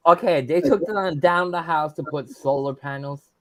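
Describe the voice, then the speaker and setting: A man's voice: fairly high pitch, moderate pace, fairly bright tone, no echo. A man bantering casually, often repeating his words and exclaiming in disbelief. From outside the United States.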